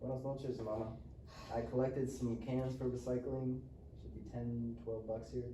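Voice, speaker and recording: A man's voice: mid-range voice, little pitch movement, normal speed, natural voice. A man playing a sullen, defiant Mexican-American teenage boy, grumbling and slangy, at a live script reading. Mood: defensive. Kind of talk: live script table read. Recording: roomy distant mic, faint noise.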